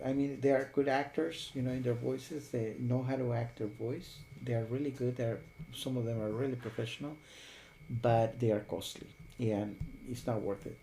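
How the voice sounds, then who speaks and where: A man talking casually and unscripted as a software reviewer, rambling and often checking in with the listener. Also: medium pitch, vocal fry, slight background noise, low-quality recording. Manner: rambling, repeatedly checks with "okay?" and "you know".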